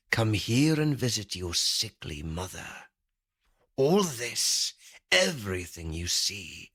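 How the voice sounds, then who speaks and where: Man acting as a sinister, raspy, forceful stalker. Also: sits low, heavily acted. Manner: threatening, taunting. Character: sinister, menacing male stalker, cold and controlling captor. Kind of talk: audio thriller drama acting. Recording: music under the voice.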